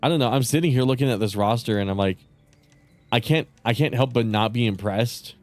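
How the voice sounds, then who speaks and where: A man chatting casually with viewers on a live stream, rambling and swearing with lots of fillers, his voice starting to go raspy. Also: medium pitch, a little fast, darkish tone, soft background music. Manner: rambling, profane, many fillers and restarts. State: voice getting raspy.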